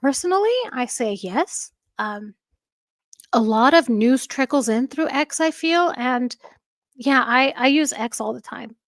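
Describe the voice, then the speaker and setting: A woman hosting a livestream, chatting casually with a soft, calming voice and reading out viewer comments. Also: sits high, unhurried, no echo, clean studio sound. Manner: chatty, warm thanks to viewers, frequent fillers and light laughs. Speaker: livestream host. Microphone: home streaming microphone.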